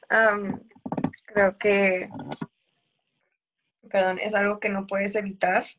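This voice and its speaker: A young woman raised in the United States shares a personal story in a casual conversation. Her voice sits at an ordinary pitch, and she speaks easily with bits of laughter. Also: little pitch movement, drawn-out words, long pauses, a bit bright, a little hiss or hum, low-quality recording. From grew up in the United States.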